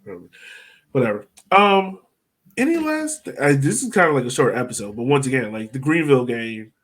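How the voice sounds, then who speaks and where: A man's voice: medium pitch, sing-song, fairly quick. A man hosting a podcast, chatting casually about sports. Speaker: podcast host.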